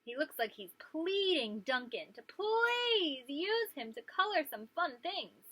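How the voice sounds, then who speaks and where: A woman's voice: high voice, sing-song, unhurried, bright, forceful tone. A woman hosting story time as a preschool teacher, reading aloud and putting questions to young listeners.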